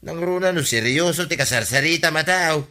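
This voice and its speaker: A man's voice: fairly high pitch, fairly quick, sharp tone. A man with an Ilocano accent playing an earnest, advising village uncle in a comedy radio drama. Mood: earnest, concerned.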